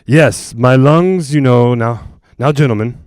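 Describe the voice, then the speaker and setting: A man playing a grave, evasive doctor who speaks in a measured, apologetic way, in the style of a 1940s radio drama. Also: medium pitch, a little mellow, no room sound, clean studio sound, close to an everyday voice. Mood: weary, apologetic. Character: grave male doctor and ghost hunter, composed, evasive.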